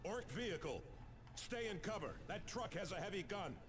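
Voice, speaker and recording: An actor playing an earnest space marine sergeant who reports formally and urgently in a sci-fi game. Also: male voice, high-pitched, expressive, moderate pace, noisy background. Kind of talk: sci-fi game military dialogue.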